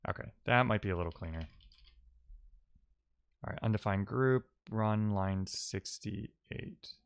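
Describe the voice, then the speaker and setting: A man's voice: fairly low pitch, unhurried, creaky, clear, resonant tone. A man giving casual, think-aloud commentary as a live-coding streamer, often muttering to himself and trailing off.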